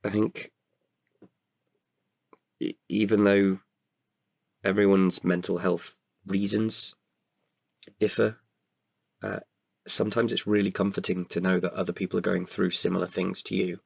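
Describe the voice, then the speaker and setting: Low, creaky-voiced man talking candidly. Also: level tone, dry recording. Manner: hesitant, halting with self-corrections. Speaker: writer-performer guest. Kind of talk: candid podcast conversation.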